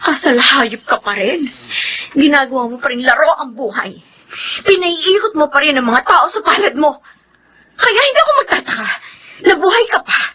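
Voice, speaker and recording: A woman's voice: high-pitched, expressive, normal speed, pushed and bright, a little hiss or hum. A woman playing a bitter, grieving mother in an emotional, tearful radio drama performance. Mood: bitter, accusing. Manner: emotional, impassioned, sobbing delivery.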